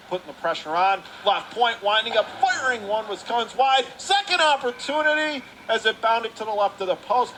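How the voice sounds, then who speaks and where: High-pitched hockey announcer, man, noisy rink. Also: fairly bright tone. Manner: urgent calls of the action in clipped phrases. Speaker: radio play-by-play hockey announcer. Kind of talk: live hockey commentary. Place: indoor ice hockey arena. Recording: clearly noisy live rink recording.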